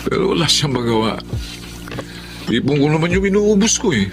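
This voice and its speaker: An actor playing a despondent, hard-drinking middle-aged widower with a Filipino accent in a radio drama. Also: male voice, a little high, very animated, fairly quick. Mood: carefree, tipsy. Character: grieving widowed man, hard-drinking, stubborn, despondent son. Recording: dramatic music under the voice.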